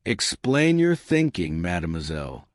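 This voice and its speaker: A man's voice: sits low, very animated, measured pace, dry recording, studio quality, voice pushed down. A man voicing a courteous little male detective in an audiobook mystery, formal, precise and authoritative. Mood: deliberating.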